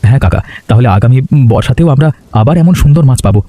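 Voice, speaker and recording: A man's voice: mid-range voice, animated, racing, background noise, lightly acted. A man playing an earnest, polite village family man in cartoon dialogue. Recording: music behind the voice.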